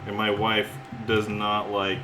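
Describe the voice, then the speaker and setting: Low-voiced man chatting while cooking. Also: slow pace, over music. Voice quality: relaxed-sounding voice. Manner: rambling, chuckles often, greets chat viewers. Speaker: cooking livestream host. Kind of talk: casual livestream chat while cooking. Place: home kitchen. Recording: echoey room with loud cookware clatter. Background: clinking cutlery, pots and pans.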